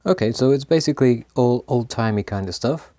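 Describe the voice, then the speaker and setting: A man casually talking through a tape machine repair, laughing often and pausing with lots of ums. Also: mid-range voice, expressive, noisy background. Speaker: host of an electronics repair channel. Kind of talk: casual hands-on commentary.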